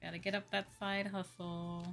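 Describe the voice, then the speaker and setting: Female streamer, lowish voice, informal rambling chat over clear background music. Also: flat delivery, normal speed, fairly bright tone. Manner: informal, conversational, frequent "like" and "you know". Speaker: VTuber livestream host. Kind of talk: casual livestream chat with viewers.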